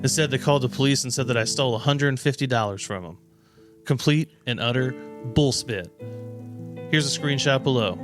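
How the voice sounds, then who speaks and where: A man's voice: medium pitch, brisk, music in the background, close to an everyday voice. A man voicing an angry, demanding man who makes menacing threats in a dramatic reading of messages. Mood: indignant, defensive.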